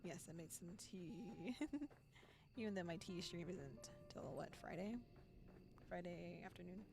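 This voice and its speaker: A woman's voice: on the low side, measured pace, gravelly. A woman chatting casually on a livestream in a playful, giggly manner. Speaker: streamer playing a visual novel. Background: music.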